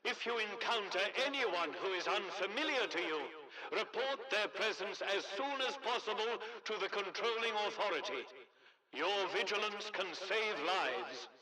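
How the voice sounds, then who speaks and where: A man playing an authoritarian military announcer in a video game, formal, clipped and politely menacing over a village loudspeaker. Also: high-pitched, lively intonation, moderate pace, low-quality recording. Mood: stern, official. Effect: village loudspeaker, radio-like PA. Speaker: public address announcer. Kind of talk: scripted loudspeaker propaganda announcements.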